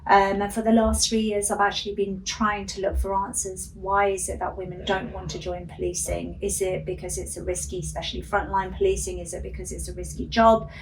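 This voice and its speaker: A woman's voice: mid-range voice, little pitch movement, brisk, hardly any pauses. A middle-aged woman police officer whose first language is Urdu, talking earnestly in a radio interview with many ums. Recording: distant mic with faint noise.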